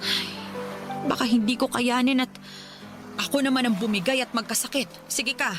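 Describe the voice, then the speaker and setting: A woman's voice: on the high side, steady tone, moderate pace, over music, lightly acted. Stern nagging widowed mother, voiced by a woman. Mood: scolding. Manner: scolding, lecturing. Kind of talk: radio drama acting.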